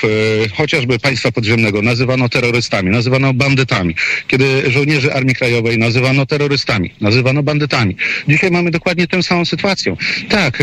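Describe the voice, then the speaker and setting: A male radio correspondent gives live commentary in conversation. His pitch is average for a man. He is opinionated and wordy, often hesitating as he builds long sentences. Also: fairly bright tone.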